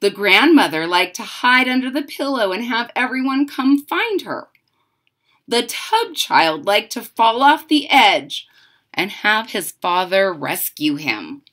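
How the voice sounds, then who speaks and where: A middle-aged woman reads a children's story aloud in a bright, high voice. Her pitch swings expressively and she takes her time, with a dramatic, deliberate delivery that explains things simply for young listeners. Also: very animated. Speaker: storyteller reading a picture book aloud to children. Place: indoors, small home room.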